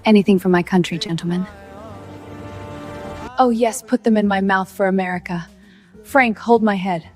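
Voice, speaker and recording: A woman's voice: medium pitch, moderate pace, long pauses, faint music behind, close to an everyday voice. A woman playing an eager, patriotic wife in a bawdy parody film, gushing and earnest.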